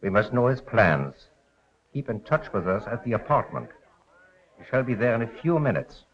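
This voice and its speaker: An actor playing a stern, suspicious foreign conspirator with a formal, clipped manner in a 1930s film. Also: male voice, on the low side, very animated, normal speed. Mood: commanding. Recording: muffled 1930s film soundtrack.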